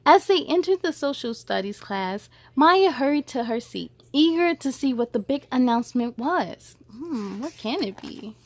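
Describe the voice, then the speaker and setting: High-voiced woman reading a storybook aloud. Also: animated, moderate pace, few pauses. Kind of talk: expressive children's picture book reading with short asides.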